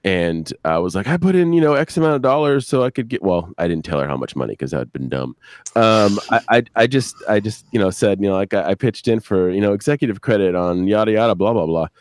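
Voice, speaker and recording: Man, middle-aged, very low voice, dry close sound. Halting, sweary, casual storyteller on a live stream. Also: lively intonation, no room sound. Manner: rambling, halting, crude swearing. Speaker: podcast creator, interview guest. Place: video live stream with a banner behind.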